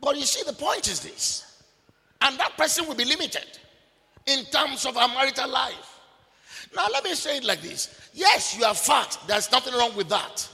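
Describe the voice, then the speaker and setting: A man preaches in a very high, gravelly voice, pressing each point home with repetition and sudden shouts. His sermon rings out with the long reverb of a large hall. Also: very animated, normal speed. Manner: emphatic, repetitive, bursts of shouting.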